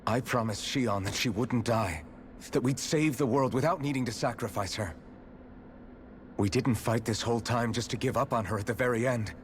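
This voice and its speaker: A man's voice: medium pitch, normal speed, a little hiss or hum, lightly acted. An actor playing a stubborn, protective young male hero in a video game cutscene, talking bluntly and defiantly. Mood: determined. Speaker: game character.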